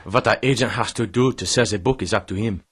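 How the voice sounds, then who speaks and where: An actor playing a terse, deadpan German photographer with a German accent in an audio drama. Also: male voice, fairly low pitch, fast talker, slightly rough.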